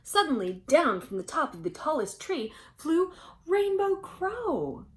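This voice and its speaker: A woman reads a picture book aloud for young children. She tells the story expressively, in an animated manner, and often turns to her listeners with a question. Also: high-pitched, measured pace.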